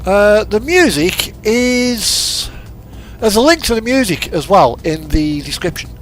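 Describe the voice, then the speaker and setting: A man's voice: sits high, lively intonation, a little slow, fairly bright tone, a little hiss or hum. A man streaming a truck driving game, giving easygoing, rambling casual commentary and chatting to viewers. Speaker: livestreamer playing a truck driving simulator. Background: background music and game truck engine.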